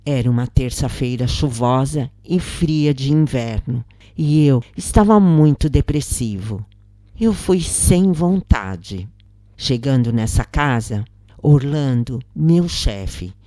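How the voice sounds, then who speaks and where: A woman's voice: very deep voice, animated, slow pace, warm tone, no echo. A woman narrating a scripted first-person story in a dramatic storytelling style.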